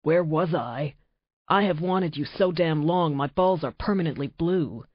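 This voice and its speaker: A woman voicing an intense, possessive shapeshifter man, speaking in a low, hushed, passionate way. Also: a little slow, no room sound. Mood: tender. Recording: dry, slightly dull audiobook recording.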